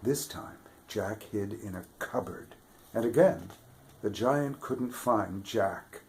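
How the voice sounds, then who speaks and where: A man reading a children's fairy tale aloud with expressive delivery, dropping to whispers for suspense. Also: fairly low pitch, slow pace. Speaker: storybook reader, theatre regular. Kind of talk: children's story read-aloud.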